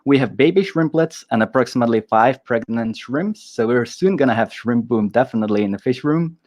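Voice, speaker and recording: A man's voice: medium pitch, animated, a little fast, darkish tone. A man hosting a casual livestream, enthusiastic and restarting his sentences often. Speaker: host.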